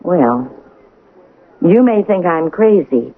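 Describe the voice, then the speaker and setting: An actress playing a chatty, nosy, suspicious wife on a cruise in a radio drama. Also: female voice, fairly low pitch, expressive, slow pace, full character voice. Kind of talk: 1980 radio drama acting. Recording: tinny, noisy old radio broadcast.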